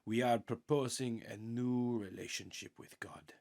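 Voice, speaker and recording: A man reading a spiritual audiobook in an earnest, measured, meditative way, with deliberate pauses and a husky, gravelly voice. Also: mid-range voice, darkish tone. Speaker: audiobook reader.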